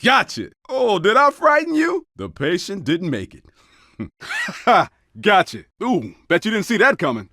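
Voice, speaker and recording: Tough male undercover cop for a shooter game: high voice, very animated pitch, clipped commanding barks. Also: a little slow, dry recording, clean studio sound, heavily acted. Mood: cocky, taunting. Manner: terse, urgent, commanding callouts. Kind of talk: video game combat barks.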